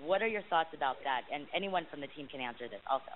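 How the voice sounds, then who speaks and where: A woman's voice: deep voice, expressive, fast talker. A woman reporter asking questions on a press conference call, with a hesitant, rambling delivery. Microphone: phone call. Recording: hissy, narrowband phone conference line.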